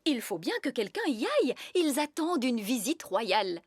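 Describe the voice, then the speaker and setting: A woman's voice: on the high side, big pitch swings, moderate pace, slightly rough, no echo. A woman voicing a sensible fairy nanny in a children's cartoon, speaking in a dry, proper, matter-of-fact way.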